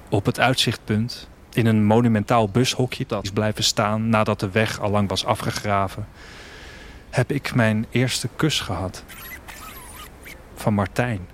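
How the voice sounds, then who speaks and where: A man playing a restless, wistful traveller, narrating his story in a reflective, measured literary audio drama style. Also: lowish voice, steady tone, a little hiss or hum. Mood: subdued, wistful. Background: music.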